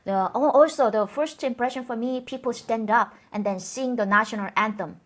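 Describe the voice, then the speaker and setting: Young adult woman, Korean accent, non-native English, halting interview host. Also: fairly high pitch. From North Korea. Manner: careful, halting English with frequent restarts. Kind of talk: conversational interview.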